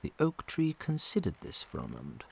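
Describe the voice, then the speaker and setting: A man narrating a scripted children's story as an expressive storyteller. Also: on the high side, a little fast, gravelly, husky, whispered.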